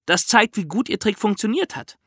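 A man's voice: high-pitched, lively intonation, normal speed, sharp tone, slightly rough, dry recording, studio quality. A man with a German accent telling true-crime stories conversationally as a podcast host, with a wry, ironic touch.

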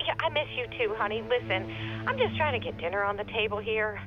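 An actress playing a busy, exasperated but affectionate mother in an audio drama, heard through a telephone filter with brisk, scolding asides. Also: mid-range voice, lively intonation. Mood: distracted, harried. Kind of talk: naturalistic audio fiction acting. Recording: band-limited phone line, music behind.

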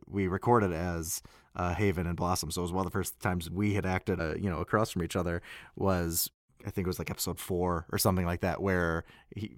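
A man with a deep voice, recorded very dry, chats casually as a voice actor looking back on his work. His delivery is loose and halting, and he often corrects himself mid-thought. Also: no echo. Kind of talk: casual recap conversation.

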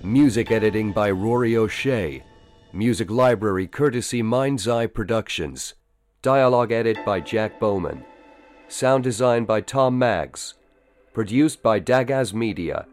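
A man with a fairly low voice announces scripted credits. He reads as a production announcer over light music.

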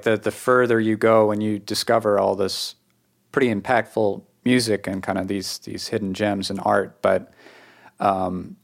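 A man with a fairly low voice and a New England accent. He chats casually as the host of a podcast, asking questions and sharing his own thoughts. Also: vocal fry. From New England, United States. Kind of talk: casual chat interview.

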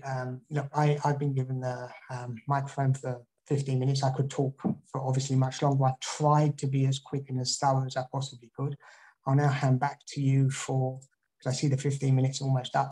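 A man's voice: mid-range voice, completely flat delivery, brisk, warm tone, poor audio. A man from the UK giving a talk and answering audience questions, with frequent restarts and fillers. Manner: rambling, many restarts. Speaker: guest speaker. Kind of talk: online talk and Q&A.

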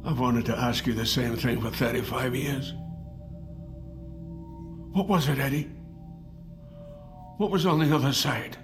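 An actor playing a guilt-ridden older man in an audio drama, confessing in halting, repeated phrases. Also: mid-range voice, steady tone, music in the background, close to an everyday voice. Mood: regretful.

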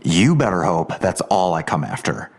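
A man's voice: sits low, big pitch swings, normal speed, husky, heavily acted. An actor playing a hot-tempered police detective and protective father, blunt and confrontational, in an audio drama. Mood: angry, accusing.

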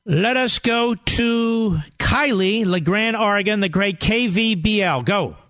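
A man's voice: high-pitched, slow, a bit bright, no echo, studio quality. Emphatic male radio talk host. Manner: emphatic, argumentative, lecturing.

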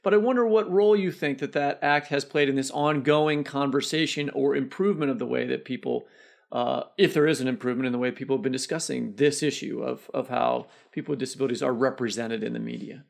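A man's voice: mid-range voice, steady tone, fast talker, gravelly. A man co-hosting a podcast, asking discursive, conversational questions that circle toward their point. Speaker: podcast co-host, interviewer.

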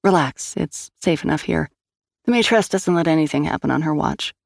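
A man voicing a wary, level-headed young woman investigator in a plain, calm and firm manner. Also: a little high, moderate pace, creaky, no room sound, studio quality.

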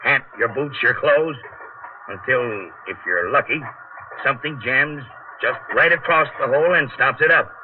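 An actor in a 1940s radio drama playing a cautious, middle-aged veteran tunnel digger and father, gruff and blunt, talking in rough working-class slang. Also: medium pitch, normal speed, close to an everyday voice. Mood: grim, instructive. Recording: muffled, noisy 1940s radio broadcast.

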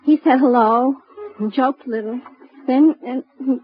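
An actress playing a young nursemaid, sassy and easily upset, in a 1950s radio drama. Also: female voice, sits high, unhurried. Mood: shaken, pleading. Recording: muffled, noisy old radio recording.

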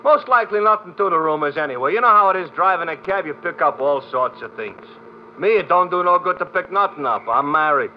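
Chatty, slangy working-class cabbie, played by a man, high animated voice, old radio play. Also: a little fast, lightly acted. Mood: chatty, pestering. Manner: garrulous, repetitive, slangy working-class talk. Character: chatty, folksy male cab driver, cheerfully pestering. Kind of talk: golden-age radio drama acting. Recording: muffled, hissy vintage radio recording.

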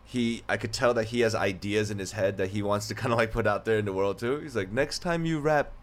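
A man's voice: fairly low pitch, brisk. A man speaking as a podcast guest, easygoing and supportive in casual chat.

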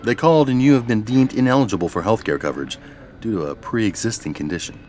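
A man's voice: lowish voice, fast talker, darkish tone, soft background music, lightly acted. A man playing an officious, coldly polite corporate boss in an audio comedy, speaking formally and with breezy callousness. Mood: breezy, callous. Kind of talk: audio drama comedy dialogue.

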